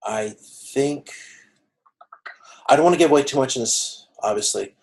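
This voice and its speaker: A man with a creaky voice teaching an online class informally, explaining step by step in a halting way on a video call. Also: mid-range voice, a little mellow. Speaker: instructor of an online college class. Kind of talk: informal lecture working through a cost example. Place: video conference.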